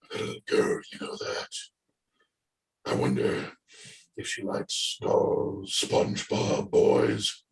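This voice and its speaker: A man playing a lonely, bitter, world-worn father in a stage play monologue, halting and brooding. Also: sits low, expressive, vocal fry, full character voice. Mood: wistful, weary. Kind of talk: play table reading, monologue. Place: indoors, echoey room, remote video call. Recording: roomy echo on video call.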